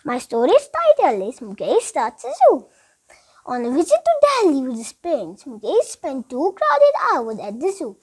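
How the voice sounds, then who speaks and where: High-pitched, animated child reading a story. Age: child, about eight. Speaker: schoolchild reading aloud.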